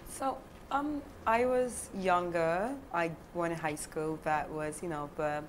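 A young woman from Ghana speaks in a low, deep voice, chatting as a guest on a studio set. She laughs often and talks with animated gestures, with steady noise in the background. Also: slow. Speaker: guest filmmaker. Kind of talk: relaxed interview talk. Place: studio set, seated on sofa.

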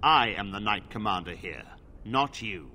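An actor playing a stern, dutiful knight commander in a fantasy game, formal, curt and authoritative. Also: male voice, lowish voice, expressive, unhurried, pushed and bright, exaggerated voice. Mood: firm.

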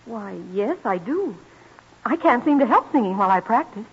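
An actress playing a polite, modest fifteen-year-old girl in an old radio drama, speaking in a hesitant, halting way. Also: fairly high pitch, animated, slightly rough. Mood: unsure, earnest. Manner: hesitant, halting, well-mannered. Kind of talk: old-time American radio drama acting. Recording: muffled, lo-fi old radio broadcast.

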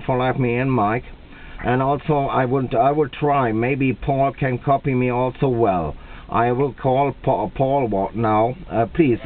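A man with a German accent making a two-way radio contact, speaking slowly and deliberately and repeating himself. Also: mid-range voice. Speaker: radio operator. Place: indoors at a radio set. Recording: tinny, band-limited camera capture at the radio.

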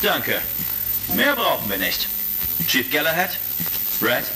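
A man's voice: on the high side, wildly swooping pitch, takes it slowly, over loud music, exaggerated voice, low-quality recording. A man playing a resolute, shrewd heroic space commander in a retro sci-fi audio drama.

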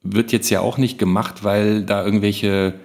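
A man's voice: fairly low pitch, flat delivery, moderate pace, vocal fry, darkish tone. A man co-hosting a podcast, talking casually and conversationally. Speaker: podcast co-host.

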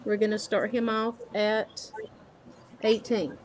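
A middle-aged woman on a headset video call from home, calmly describing a ring's details. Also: medium pitch, slow pace, a little hiss or hum. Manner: relaxed, descriptive, talks through item details. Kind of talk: casual live-stream product talk. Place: home room.

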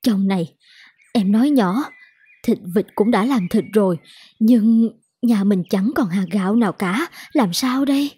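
An actress playing a poor, anxious peasant wife, husky and plaintive, in an animated folk tale. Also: female voice, medium pitch, unhurried, dry recording. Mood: worried, resigned.

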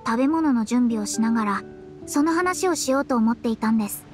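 An actress playing a composed young astrologer in a game, polite and measured. Her voice sits high, with soft music underneath. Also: animated, close to an everyday voice. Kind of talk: game voice acting.

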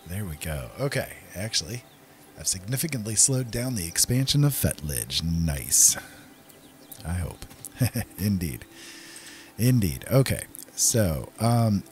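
A man's voice: sits low, a little mellow. A man hosting a gaming video with casual, playful, chatty commentary, often talking to himself.

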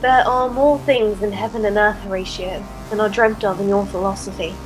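A woman's voice: mid-range voice, steady tone, normal speed, music in the background, lightly acted, rough recording. A woman playing a brooding, earnest young noble in a theatrical, Shakespearean audio drama manner. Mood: solemn, urgent. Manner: theatrical, archaic Shakespearean phrasing. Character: brooding, earnest young Danish noble sworn to avenge a father.

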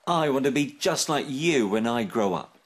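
A man's voice: medium pitch, lively intonation, normal speed, slightly rough, voice pushed up. A man voicing a young boy crocodile in a children's story, wistful, hesitant and childlike. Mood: eager. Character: young boy crocodile, wistful and unsure about his dad.

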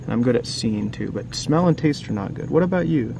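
A man with a low-key crackle in his voice reads a picture book to young viewers in a casual, chatty way. He keeps turning to the listener with questions. Outdoor noise and passing traffic sit clearly behind him. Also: expressive, brisk. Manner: informal, asks the listener frequent questions and tag questions. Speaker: children's book reader and teacher.

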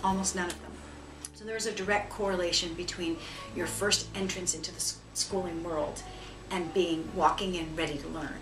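A woman's voice: fairly low pitch, fairly even intonation, moderate pace. A middle-aged woman with an American accent, a school principal speaking in an interview. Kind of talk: interview testimonial. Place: small office, indoors. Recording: roomy, distant mic, music behind.

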